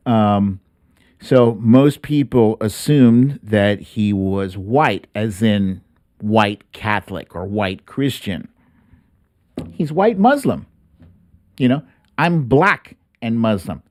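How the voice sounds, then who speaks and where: A middle-aged American man hosts a political commentary show with a blunt, emphatic delivery. His pitch moves around a lot while the pace stays slow. The sound is dry and close, from a studio mic. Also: darkish tone, no room sound. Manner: blunt, emphatic, with rhetorical questions and repetition for emphasis. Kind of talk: casual opinionated news commentary. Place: small indoor home studio. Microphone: close boom-arm studio microphone, headphones.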